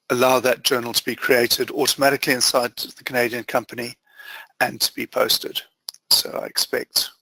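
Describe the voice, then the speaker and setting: A man presenting an instructional software demo as a trainer, explaining methodically step by step in short phrases. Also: medium pitch, creaky, breathy edge, no echo, poor audio. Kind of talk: instructional screen-share walkthrough.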